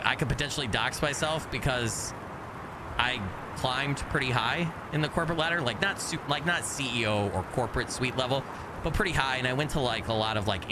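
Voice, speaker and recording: An American man streams a game and chats with viewers, with a faint regional accent. He rambles and often restarts his sentences. His voice sits at an ordinary middle pitch, and faint outdoor ambience runs underneath. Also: very noisy. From United States. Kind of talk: casual gameplay chat with viewers.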